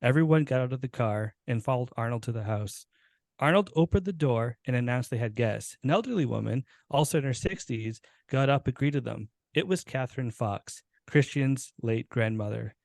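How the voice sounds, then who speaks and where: A man's voice: medium pitch, moderate pace, creaky, darkish tone, dry recording. A man, a podcast host, giving a dramatic reading of a short story, now and then tripping over words and correcting himself.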